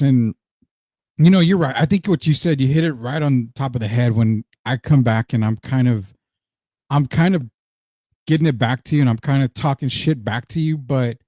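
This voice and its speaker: A man chatting casually about sports on a podcast, relaxed and even-tempered, laughing often. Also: medium pitch, no echo, clean studio sound.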